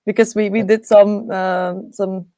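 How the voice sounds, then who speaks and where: Swiss woman speaking halting English. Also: medium pitch, little pitch movement, mellow, no echo, studio quality. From Switzerland. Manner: laughs often, hesitant, halting English. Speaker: rock singer, guest. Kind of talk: casual interview chat. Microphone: video call.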